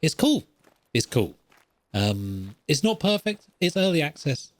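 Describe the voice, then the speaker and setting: A man's voice: fairly high pitch, very animated, unhurried, no room sound. A man hosting a gaming podcast, talking in a casual, rambling, self-deprecating way as he thinks aloud.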